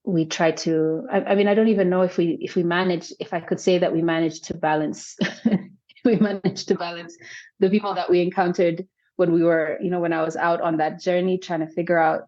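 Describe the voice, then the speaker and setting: Low-voiced woman filmmaker, thoughtful. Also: steady tone, fairly quick, mellow, muffled recording. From South Sudan. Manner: thoughtful, many restarts and hedges. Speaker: filmmaker guest. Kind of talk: reflective interview answers.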